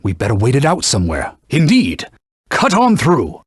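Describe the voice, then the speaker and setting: Haughty talking sword for a video game, super animated pitch, dry close sound, stern n formal, bossy battle mentor. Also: male voice, moderate pace, husky, no room sound. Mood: stern, cautious. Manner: formal, scolding, urgent commands. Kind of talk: theatrical video game voice acting.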